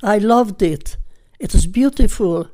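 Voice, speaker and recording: An older woman from Hungary with a Hungarian accent, recalling her life in an unhurried, reflective way during an oral history interview. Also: mid-range voice, mellow. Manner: unhurried, reflective, simple phrasing.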